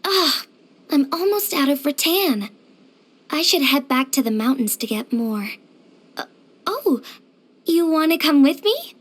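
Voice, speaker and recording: A woman playing a cheerful, easygoing young craftswoman in a video game, with a gentle, chirpy, earnest manner. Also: sits high, expressive, unhurried, long pauses. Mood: musing, hopeful. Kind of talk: video game character voice acting.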